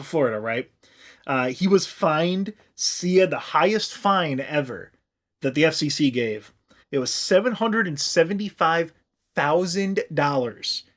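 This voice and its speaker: A man's voice: on the high side, expressive, unhurried. A man co-hosting a comedy podcast with casual, jokey, profane riffing.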